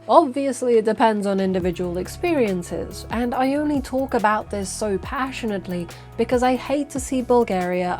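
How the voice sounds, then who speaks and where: Female film reviewer, earnest and opinionated, mid-range voice, soft music underneath. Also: medium pitch. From United Kingdom.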